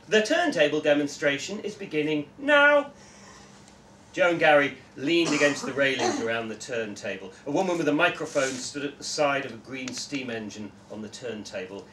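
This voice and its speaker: A young man giving an expressive live reading of a short story in a small room, recorded from a few metres away. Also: mid-range voice, normal speed. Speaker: story reader. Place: small indoor festival room with audience. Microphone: camera a few metres away. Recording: distant, echoey room with background noise.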